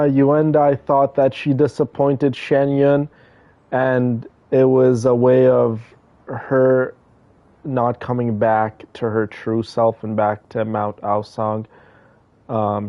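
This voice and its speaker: A man's voice: mid-range voice, level tone, slow, dry recording, highs cut off. A man streaming a game and giving casual, earnest, effusive commentary on its story, repeating phrases for emphasis. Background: faint music.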